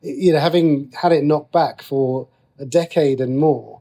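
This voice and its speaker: A man's voice: on the high side, a little slow, mellow. A man chatting as an interview guest, thinking aloud and often rephrasing as he goes. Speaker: interview guest, TV and radio writer. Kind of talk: casual interview conversation.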